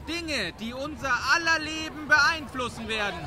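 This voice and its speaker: A man's voice: extremely high pitch, expressive, normal speed, far from the mic, pitched above the performer's own voice. A man voicing a pompous herald in an audiobook, proclaiming and rousing a crowd with fearful warnings. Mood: solemn, ominous. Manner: declamatory, projecting, oratorical.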